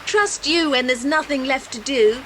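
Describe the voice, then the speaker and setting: A woman playing a sensible girl in a children's audio drama, brisk and practical. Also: high-pitched, fairly bright tone. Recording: noisy old cassette dramatisation.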